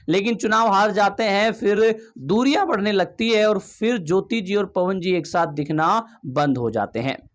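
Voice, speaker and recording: A man giving emphatic gossip commentary, asking rhetorical questions and addressing viewers directly. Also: high-pitched. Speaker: video commentator.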